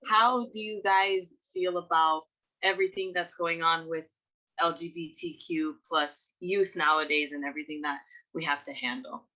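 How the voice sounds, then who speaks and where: A woman fan asking the cast a question over a video call, excited and a little nervous. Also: low voice, normal speed, muffled recording.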